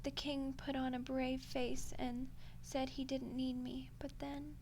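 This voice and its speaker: A woman playing a smug, condescending female archdemon in a soft-spoken ASMR roleplay, unhurried and menacingly polite. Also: high voice, little pitch movement, slight background noise, low-quality recording. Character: ancient female archdemon, smug, coolly seductive deal-maker.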